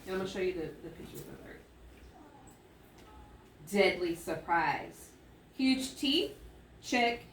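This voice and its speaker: A woman teaching children through an interactive read-aloud, thinking out loud and checking in with her listeners. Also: fairly low pitch, animated, unhurried, pauses often. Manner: thinking aloud with musing hums and exclamations. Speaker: elementary school teacher. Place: classroom with echo. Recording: roomy, distant mic, faint noise.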